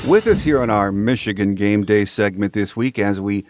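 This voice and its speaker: A man's voice: fairly low pitch, normal speed, over loud music. An American man hosting a sports podcast, giving fan commentary on games. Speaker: podcast host.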